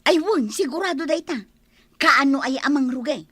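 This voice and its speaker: An ambitious village wife with an Ilocano accent in a comedy radio play. Her voice is high and sharp and swings widely in pitch. She is sassy and easily irritated, recorded close and dry. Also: normal speed, no room sound. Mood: breezy, curious. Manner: animated, sassy. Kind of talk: comedy radio drama acting.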